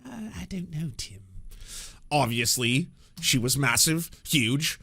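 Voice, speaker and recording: A man's voice: a little high, expressive, slow, bright, forceful tone. Sarcastic male reaction host. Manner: sarcastic, mocking. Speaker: commentary host.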